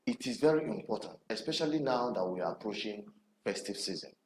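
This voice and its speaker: Man, Nigerian accent, low pitch, TV host commentary, emphatic, deliberate pauses, echoey recording. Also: animated. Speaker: online TV programme host. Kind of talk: presenter commentary to camera. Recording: roomy echo with background noise.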